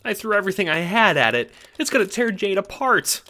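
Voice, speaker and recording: A man voicing an excitable, awkward teenage boy in an audio drama, stammering and exclaiming. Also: high-pitched, brisk, over music, pitched above the performer's own voice. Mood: panicked.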